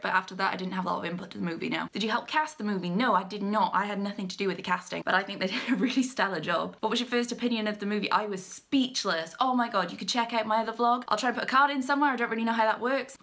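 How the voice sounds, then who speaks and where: A woman's voice: mid-range voice, a bit bright. A young woman, an author, chatting casually through fan questions in a bubbly way, laughing between answers. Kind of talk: casual Q&A vlog.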